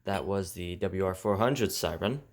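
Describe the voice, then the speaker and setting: Male vlog host, low-pitched, casual and unscripted, recorded with heavy noise. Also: moderate pace. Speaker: video host demonstrating weather radios. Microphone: headset. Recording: heavy background noise, clicking.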